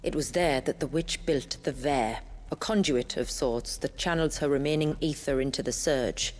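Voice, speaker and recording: Actress as village woman guide, low-pitched, solemn, measured storyteller, fantasy game drama, soft music behind. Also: natural voice. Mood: guarded, purposeful. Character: grave village woman guide and keeper of her people's history. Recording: music under the voice.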